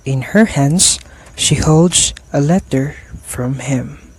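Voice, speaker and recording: A young man narrating a student radio drama in a slow, subdued storytelling manner. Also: on the high side, husky, low-quality recording.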